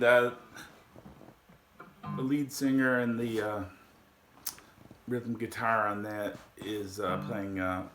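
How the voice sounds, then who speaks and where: A man with a deep voice giving a casual guitar lesson, explaining slowly with frequent pauses and fillers. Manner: slow, halting explanations with many fillers. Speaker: guitar teacher. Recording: echoey room with guitar playing.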